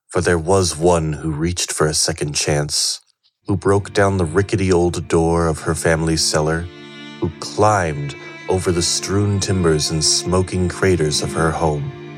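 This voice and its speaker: Very deep, solemn fantasy narrator. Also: male voice. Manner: measured, solemn, portentous. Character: solemn male storyteller of a fantasy tale. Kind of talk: dramatic scripted fantasy narration. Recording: prominent music under the voice.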